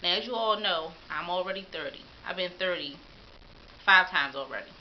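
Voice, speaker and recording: A woman's voice: lowish voice, moderate pace, highs cut off, a little hiss or hum. Upbeat woman vlogger in her thirties. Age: 30s. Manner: upbeat, encouraging, folksy. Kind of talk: casual talk to camera.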